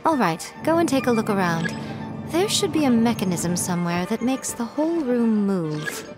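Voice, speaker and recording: A woman's voice: a little high, sing-song, moderate pace. A woman voicing a sly, teasing shrine priestess who stays coolly amused. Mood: calm. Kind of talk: video game voice acting. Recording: faint game music behind.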